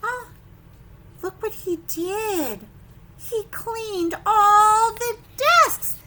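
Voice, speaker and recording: A woman leading preschool story time, reading a picture book with simple, expressive asides and questions to young children. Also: extremely high pitch, extremely slow, a little hiss or hum.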